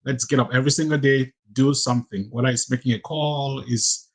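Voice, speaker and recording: A man's voice: medium pitch, fairly even intonation, fairly quick, breathy edge. A man from Cameroon giving an earnest, plain speech of encouragement on a video call. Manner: earnest, plain, restarts phrases.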